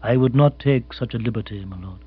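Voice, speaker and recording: A man voicing an imperturbable butler in a comic audiobook, formal, measured and deferential. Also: medium pitch, husky, dry recording. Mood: unruffled, deferential. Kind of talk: comic audiobook character acting. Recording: muffled recording with hum.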